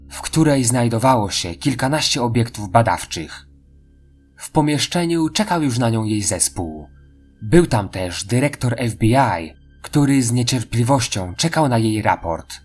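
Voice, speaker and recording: A man's voice: medium pitch, husky. A man reading scripted story narration as the narrator of an audio drama. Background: music.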